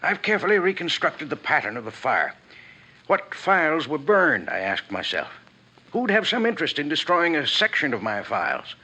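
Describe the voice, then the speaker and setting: A man playing an anxious, earnest head of a detective agency in a 1950s radio drama, speaking precisely and deliberately. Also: on the high side, sing-song, pushed and bright, slightly rough, full character voice. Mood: grave, earnest. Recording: dull old radio recording.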